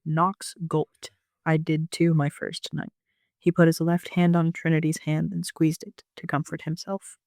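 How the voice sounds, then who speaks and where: A man's voice: on the high side, flat delivery, measured pace, creaky, a little mellow, dry recording, studio quality. A man reading scripted first-person story narration as an amateur author.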